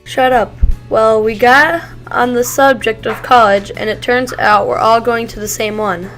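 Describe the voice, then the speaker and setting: An eager young archer heroine, voiced by a young woman, in a somewhat high voice. She speaks brightly in a homemade audio drama. Soft music sits under her lines. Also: steady tone, measured pace. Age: young adult.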